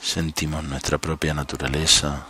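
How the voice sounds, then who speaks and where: Really deep male voice, slow calm meditation guide, bit of nature noise behind. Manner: calm, unhurried, leaves long pauses. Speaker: meditation coach.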